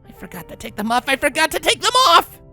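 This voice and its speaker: A woman's voice: high voice, very animated, fast talker, gravelly, pushed and bright, soft background music, heavily acted. A woman voicing a brash, proud young pegasus mare in a story, casual and blustering. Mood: panicked.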